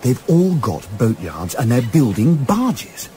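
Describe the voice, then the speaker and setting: Casting: male actor as earnest, plain-spoken yachtsman, animated mid-range voice, radio drama with faint ambient noise. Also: mid-range voice, takes it slowly. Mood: keen, confident. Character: keen, plain-spoken Edwardian English yachtsman, loyal amateur sleuth. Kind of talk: radio play acting.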